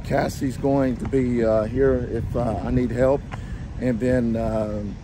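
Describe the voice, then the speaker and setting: A man's voice: medium pitch, flat delivery. A man in his forties who has lost his voice, vlogging on a walk around a homesteading expo and talking to a phone held at arm's length, with many fillers. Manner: talks to camera while walking, halting with many fillers. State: hoarse, losing his voice. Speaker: homesteading vlogger and event emcee. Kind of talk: casual vlog walk-through. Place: outdoors at a fairground expo. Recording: noisy outdoor phone recording.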